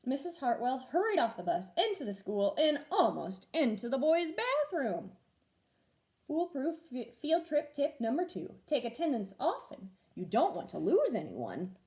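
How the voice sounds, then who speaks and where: Woman, storybook read-aloud, highish voice, animated melody, expressive, teacherly. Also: measured pace. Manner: expressive, clearly paced for children. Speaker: first grade teacher reading stories aloud.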